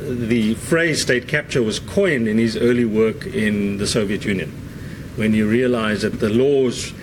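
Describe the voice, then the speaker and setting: A man's voice: fairly low pitch, noisy background. A man hosting a university seminar, introducing the guest in a conversational way with many fillers. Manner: conversational, frequent uh fillers and "right?" tags. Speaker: seminar host.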